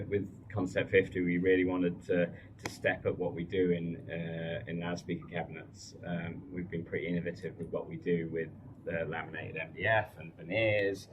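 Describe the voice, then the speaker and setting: A man giving an informal technical product presentation as a design lead, haltingly, often repeating words. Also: sits low, muffled recording. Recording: distant mic, faint room noise.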